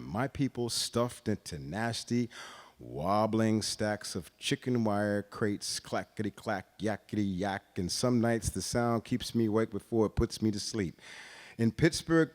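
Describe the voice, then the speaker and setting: A man reads a literary story aloud in a deliberate, expressive way, pausing between phrases. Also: fairly low pitch. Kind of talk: dramatic literary reading.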